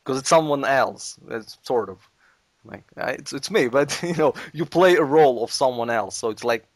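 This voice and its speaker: Guy, animated and laughy, mid pitch, dry close sound. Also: normal speed, no room sound. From Soviet Union. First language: Russian. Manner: animated, laughs often, loose grammar. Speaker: guest, let's player. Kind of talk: casual podcast chat.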